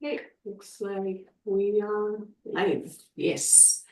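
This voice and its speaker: A woman's voice: medium pitch, fairly even intonation, very slow, warm tone. A woman hosting a live stream interview, enthusiastic, often repeating words as she frames her questions over a video call. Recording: roomy, distant from mic.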